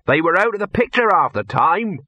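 Man as a grumbling, laconic camera operator, pitched up. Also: sing-song, fairly quick, pushed and bright, no echo, muffled recording, heavily acted. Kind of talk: audiobook character voice.

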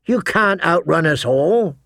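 Guy doing a stubborn young recruit, firm n dutiful, audiobook style, higher voice w some creak. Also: expressive, slow pace, fairly bright tone, no room sound, full character voice. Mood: insistent. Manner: insistent, firm.

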